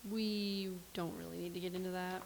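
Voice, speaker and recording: A woman's voice: fairly low pitch, steady tone, normal speed, creaky. An actress playing a hesitant woman who avoids telling what she knows, in a staged play reading with faint hiss. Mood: evasive.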